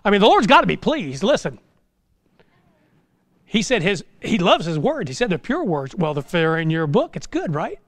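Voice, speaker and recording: A man's voice: sits high, sing-song, normal speed, dry recording. A middle-aged American man preaching an informal sermon in a folksy, exhortative way, full of rhetorical questions. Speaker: pastor preaching. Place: small church sanctuary, indoors.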